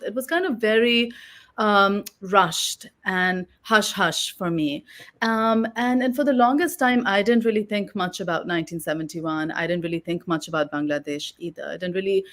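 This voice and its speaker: A woman from Pakistan, an author and oral historian, giving a long reflective interview answer in long winding sentences. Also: mid-range voice.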